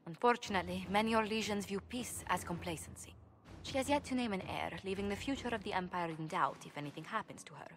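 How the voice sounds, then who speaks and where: An actress playing a poised court diplomat in a fantasy game, speaking formally with polish. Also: female voice, on the low side, natural voice. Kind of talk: dramatic game cutscene dialogue.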